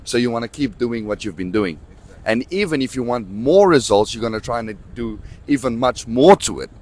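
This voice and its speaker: A man in his twenties, a bodybuilder, explaining his routine in a steady interview answer. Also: mid-range voice, normal speed. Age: 20s. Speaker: bodybuilding champion.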